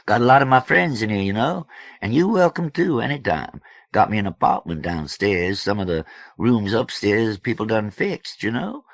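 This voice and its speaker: A man voicing a loud, slangy, big-hearted, boisterous man in an audiobook, with emphatic folksy delivery. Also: on the low side, big pitch swings, normal speed, vocal fry, no echo, voice pushed up, heavily acted. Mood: hearty, expansive. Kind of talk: audiobook character voice.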